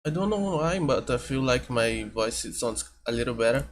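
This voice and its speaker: A man's voice: medium pitch, expressive. A man, a vtuber talking casually to his stream, thinking aloud with many restarts. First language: Portuguese. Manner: halting, self-correcting, thinking aloud. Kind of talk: casual reaction stream chat. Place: home room with air conditioner hum.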